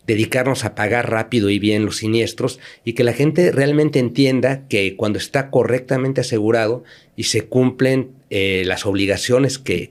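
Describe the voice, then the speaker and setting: A man from Mexico, a company manager interviewed as a podcast guest, explaining at length with frequent fillers and repeated words. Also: mid-range voice.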